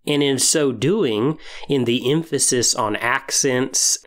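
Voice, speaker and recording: Male podcast host, medium pitch, measured and explanatory solo delivery with emphasis on key phrases. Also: a bit bright. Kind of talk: podcast monologue giving tips on tabletop roleplaying.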